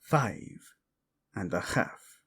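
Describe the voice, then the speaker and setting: A man's voice: extremely slow, a bit bright. A man playing a proud, brooding young prince in an audio drama, curt and sardonic, with a Spanish accent. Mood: irritated, curt. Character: brooding, proud young prince, defensive about his dead brother.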